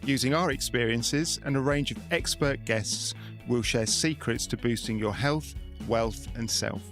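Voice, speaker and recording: A man's voice: medium pitch, measured pace, a bit bright, faint music behind. A middle-aged man with a British accent hosts a podcast in a conversational interviewing style. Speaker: podcast host.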